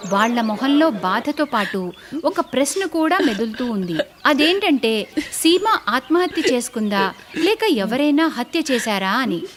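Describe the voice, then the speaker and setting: A woman's voice: high-pitched, big pitch swings, unhurried. A woman narrating a scripted cartoon story in a dramatic style. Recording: clearly noisy background.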